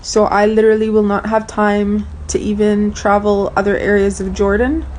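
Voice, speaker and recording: A woman's voice: medium pitch, little pitch movement, normal speed, a little mellow, a little hiss or hum. A woman whose first language is Armenian, talking frankly and casually to her viewers in a vlog. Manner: chatty, frank, addresses viewers directly. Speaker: vlogger.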